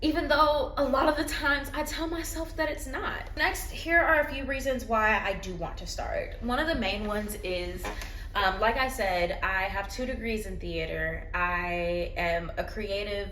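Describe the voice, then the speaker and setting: A woman's voice: mid-range voice, a little slow, a bit bright. A woman talking candidly into her phone at home in a personal vlog, earnest and reflective. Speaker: vlogger. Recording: roomy echo, faint background noise.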